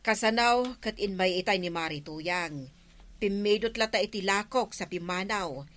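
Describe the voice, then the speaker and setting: A woman playing an exasperated village shopkeeper in a comedy radio drama, expressive and complaining. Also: mid-range voice, moderate pace, close to an everyday voice.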